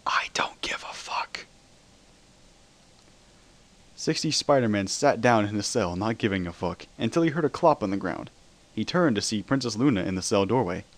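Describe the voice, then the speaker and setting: A man's voice: lowish voice, expressive, fairly quick. A man narrating a dramatic reading of comic fan fiction.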